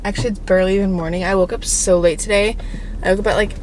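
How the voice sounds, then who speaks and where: A woman's voice: medium pitch, fairly even intonation, rapid-fire, darkish tone, slight background noise, low-quality recording. A young woman in her twenties vlogging casually to camera, rambling with lots of fillers, a little nasal from a mild cold, filmed in her car and bedroom. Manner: chatty, rambling, restarts and "like" fillers. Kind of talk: casual vlog chat to camera. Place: in a car, then a bright bedroom. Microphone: camera mounted on the dashboard.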